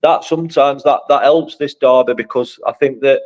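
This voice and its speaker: A man's voice: medium pitch, normal speed. Rambling man, muffled sound. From Sheffield, England. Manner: rambling, repeats "you know what I mean". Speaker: podcast co-host. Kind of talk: casual chat.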